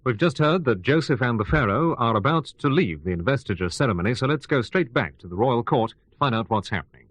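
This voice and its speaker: A man playing a radio news anchor, presenting a scripted bulletin in a formal, measured broadcast manner. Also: mid-range voice, animated, dry recording, close to an everyday voice.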